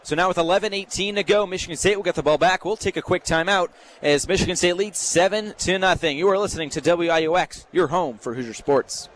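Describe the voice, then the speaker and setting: A man's voice: high-pitched, quick pace, hardly any pauses, a bit bright. An American man doing live radio play-by-play in short, clipped announcer phrases, as a sportscaster. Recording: noisy live stadium radio broadcast. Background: stadium ambience.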